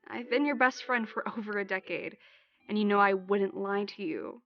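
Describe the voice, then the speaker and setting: A woman's voice: mid-range voice, moderate pace, lo-fi sound, natural voice. A woman playing a loyal, decisive best friend who leads the planning in a fantasy audio drama. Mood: serious, reassuring. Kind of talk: fantasy audio roleplay acting.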